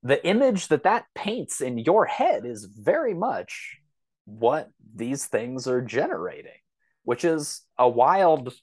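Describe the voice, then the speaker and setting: A man's voice: medium pitch, big pitch swings, slow pace, darkish tone, no room sound. A man talking casually as a podcast guest, explaining things through examples and rambling asides. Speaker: podcast guest, science fiction author.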